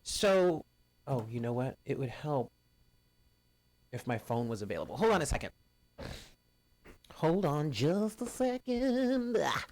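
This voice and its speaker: A man hosting a live stream of readings, chatting casually with viewers and greeting them by name in a loud, carrying voice. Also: fairly high pitch, big pitch swings, measured pace, pauses often, dry recording. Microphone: home stream mic, first too quiet, then louder.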